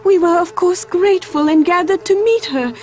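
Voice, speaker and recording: Old village woman, high voice, trembling, half-mad, cackling. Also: moderate pace, darkish tone. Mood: haunted. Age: older.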